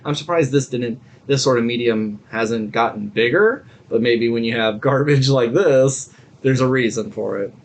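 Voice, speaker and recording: A man's voice: mid-range voice, moderate pace. A man co-hosting a podcast in casual chat, telling stories in an irreverent, digressive way with some swearing. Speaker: podcast co-host.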